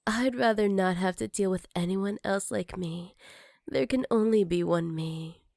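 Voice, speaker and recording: An intimate, very dry close-mic recording of a possessive female villain acted by a woman. She teases and flirts in a breathy, mid-pitched voice that often sinks toward a whisper, with jealousy under every line in ASMR roleplay style. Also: measured pace, a little mellow, no room sound. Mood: jealous, possessive.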